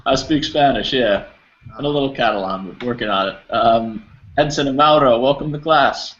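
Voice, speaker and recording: Young teacher on a muffled call. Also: male voice, mid-range voice, moderate pace, fairly bright tone. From New Jersey, United States. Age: 20s. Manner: friendly, encouraging, simple phrasing. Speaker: English teacher. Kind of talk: online language class. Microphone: video call. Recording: muffled video call audio.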